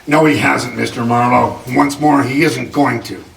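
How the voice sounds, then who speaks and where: An actor playing an imperious, wealthy older uncle in a radio drama, formal and dismissive, with a gravelly voice. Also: male voice, medium pitch, fairly even intonation, moderate pace, close to an everyday voice. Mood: stern, dismissive. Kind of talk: 1940s radio drama reading. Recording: echoey room, distant mic, faint noise.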